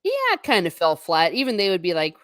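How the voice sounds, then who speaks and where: A woman's voice: lowish voice, huge pitch swings, a little fast, a bit bright, no room sound, clean studio sound. A woman hosting a casual conversation, a teacher who talks in a chatty way and often laughs mid-sentence. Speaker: podcast host.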